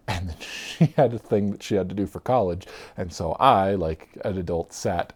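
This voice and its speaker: A man in his thirties casually telling his own story for a vlog, rambling and laughing as he goes, often trailing off softly, sometimes a little off his own mic. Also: deep voice. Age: 30s. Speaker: vlogger. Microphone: own mic, off-axis at times.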